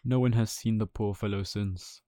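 A man's voice: lowish voice, steady tone, moderate pace, warm tone, no echo, studio quality, close to an everyday voice. A man voicing a sympathetic, matter-of-fact dockside stranger in a children's story. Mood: musing, sympathetic.

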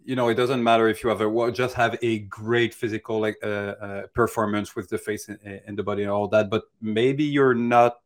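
Low-pitched man, halting advice. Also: flat delivery. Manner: hesitant, halting, thinking aloud. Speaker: animation mentor giving advice. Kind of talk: unscripted Q&A advice.

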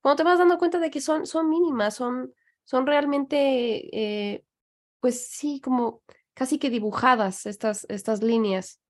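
A Mexican woman hosting a podcast, talking in long reflective stretches that turn animated. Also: high-pitched, a little slow. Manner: reflective, animated, speaks in long flowing thoughts. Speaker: podcast host. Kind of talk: casual conversational chat. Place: living in New York.

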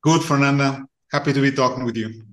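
This is a man in his forties, a guest talking through his experience on a podcast. His voice sits at an ordinary middle pitch. He explains things in a measured way and often stops to rework a phrase. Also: steady tone, a bit bright. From Argentina. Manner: measured, explanatory, with frequent restarts. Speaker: guest, venture capital fund partner. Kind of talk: conversational podcast interview.

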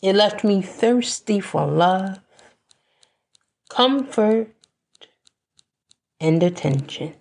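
A woman delivering a scripted spoken-word monologue in a deliberate, measured way, with pauses between phrases. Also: medium pitch, expressive, vocal fry, a little mellow. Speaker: podcast host.

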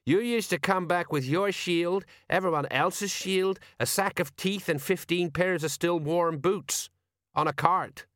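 An older police sergeant, acted out by a male audiobook reader, pitched up from the reader's own voice. He is chatty and defensive, rambling along in folksy, working-class speech. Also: a little slow, fairly bright tone, no room sound, clean studio sound. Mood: exasperated.

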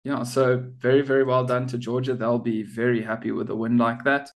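A man co-hosting a relaxed sports podcast. He talks in an easy, bantering way and often laughs at his own jokes. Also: medium pitch, brisk. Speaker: podcast co-host. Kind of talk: casual sports chat.

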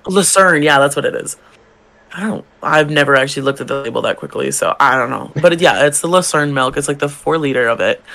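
Young male drag queen podcaster, slightly high gravelly voice, chatty and animated. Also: fairly quick. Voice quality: gravelly, feminine-leaning voice. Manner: animated, swearing, acts out customers' lines. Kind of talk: casual storytelling chat.

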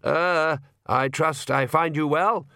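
A man's voice: fairly high pitch, fairly even intonation, takes it slowly, vocal fry, pushed and bright, no room sound, studio quality, voice pushed up, heavily acted. A man voicing a nervous, awkward young priest who stammers and talks with hesitant politeness.

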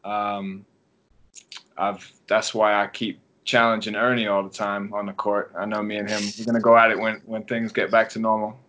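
A man in his thirties from England with an English accent, a track coach relaxed and rambling as he answers interview questions. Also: lowish voice, normal speed, muffled recording, slight background noise. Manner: relaxed storytelling with many ums and restarts. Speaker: guest track and field coach. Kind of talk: casual podcast interview.

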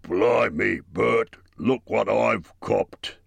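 A big, dim male troll with a very deep, rough, gravelly voice, put on by a man. He drags his coarse slang out slowly and loudly, sounding half drowsy. He is storybook-menacing but lazy. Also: dry recording, full character voice. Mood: gruff, puzzled.

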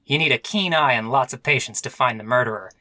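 A man playing a weary, melodramatic police detective in a parody noir radio play, wordy and deadpan. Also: mid-range voice, fast, echoey room, lightly acted. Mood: self-important.

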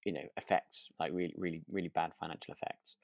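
A man's voice: low-pitched, little pitch movement, fast talker, vocal fry, a little mellow, dry recording. A man chatting casually as a podcast co-host, hesitant and often correcting himself. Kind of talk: relaxed casual chat about a radio drama.

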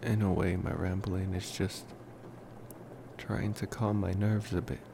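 Slow, gravelly young male vampire, acted by a man. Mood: tender, nervous. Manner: soft, unhurried, musing with long pauses. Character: devoted young male college vampire, smitten and protective. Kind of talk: intimate ASMR roleplay. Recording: noisy, steady background rumble.

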